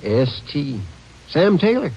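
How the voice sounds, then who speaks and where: An actor playing a plain-spoken, questioning county sheriff with a husky voice in old-time radio style. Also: male voice, on the high side, big pitch swings, slow, background noise. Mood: puzzled, curious. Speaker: actor in a radio crime drama. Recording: dull vintage radio recording.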